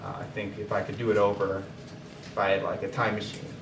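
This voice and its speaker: A man's voice: fairly low pitch, level tone, a little fast. Echoey, distant young man giving advice. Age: young adult. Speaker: panelist advising pre-law students. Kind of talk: informal advice talk. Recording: echoey, distant, faint background noise.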